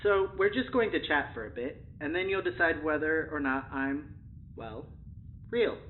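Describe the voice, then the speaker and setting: A thin, phone-like voice of a polite possible AI in an audio drama. It is fairly high and animated, chatting in a friendly, careful, slightly awkward way. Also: male voice, normal speed. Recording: thin phone-like band, faint noise.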